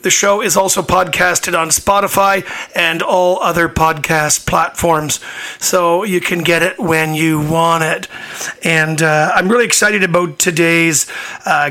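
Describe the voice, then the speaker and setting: Male radio host, high bright voice, upbeat and chatty. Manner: upbeat, chatty, plugs sponsors. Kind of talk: talk show introduction and interview.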